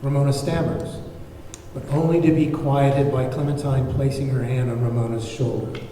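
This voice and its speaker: A man's voice: mid-range voice, flat delivery, normal speed, mellow. A man narrating the stage directions of a script at a table read. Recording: very echoey hall, far mic, faint noise.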